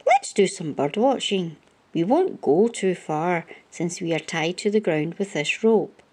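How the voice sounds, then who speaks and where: A woman's voice: on the low side, big pitch swings, measured pace, a little mellow. A woman reading the lines of an earnest young boy in a children's storybook. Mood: eager, explaining.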